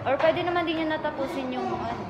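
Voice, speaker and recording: A woman's voice: high voice, fast. A young woman with a Filipino accent chatting casually and giggly as a vlogger, full of informal exclamations. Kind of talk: casual chat. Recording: very noisy, echoey crowded public space.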